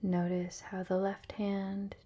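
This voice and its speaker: Soft, very slow, breathy woman guiding meditation. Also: mid-range voice, completely flat delivery, dull audio. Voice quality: soft, breathy, often near-whispered. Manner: gentle, soothing, unhurried, with long pauses. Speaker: meditation guide. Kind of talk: guided relaxation meditation, soft ASMR-like delivery.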